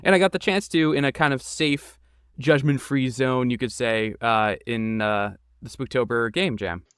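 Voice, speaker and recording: A man's voice: medium pitch, moderate pace, a bit bright, clean tone, no room sound, clean studio sound. A man answering interview questions casually as a podcast guest, with an informal, enthusiastic and self-deprecating manner. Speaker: podcast guest, game creator and voice actor.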